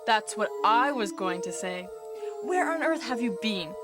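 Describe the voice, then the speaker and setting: A woman's voice: fairly high pitch, expressive, unhurried. A woman playing an earnest, trusting young policewoman in a 1990s video game, with stilted delivery. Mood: earnest, questioning. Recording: game audio with music underneath.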